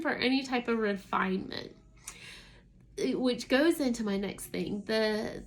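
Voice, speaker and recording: A woman, a homeschooling mother, giving an enthusiastic, casual product review in a talking-to-camera style. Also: a little high.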